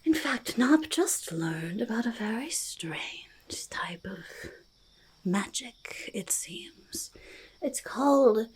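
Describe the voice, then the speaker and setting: A woman voicing a curious, skittish and chatty forest goblin in soft-spoken, half-whispered ASMR roleplay, halting and repeating words. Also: a little high, lively intonation, slightly rough, full character voice. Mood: bashful, eager. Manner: halting, repetitive, speaks of self in third person.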